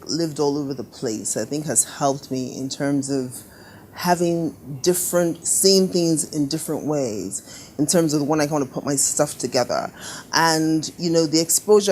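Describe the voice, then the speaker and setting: A middle-aged woman designer telling stories in a casual interview, lively and laughing often, doing little voices for her parents. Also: low-pitched, slight background noise. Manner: animated storyteller, laughs often, acts out quoted family voices. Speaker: fashion designer guest. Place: living room studio with sofas.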